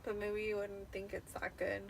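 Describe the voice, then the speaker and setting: A woman's voice: medium pitch, little pitch movement, moderate pace. A tipsy woman in her thirties chatting casually as she hosts her own book reading. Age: 30s. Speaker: host reading a book aloud. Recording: clearly noisy room ambience.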